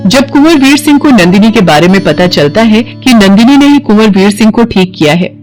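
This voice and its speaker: A woman's voice: mid-range voice, lively intonation, fairly quick, darkish tone, faint music behind. A woman narrating a scripted moral story as the storyteller.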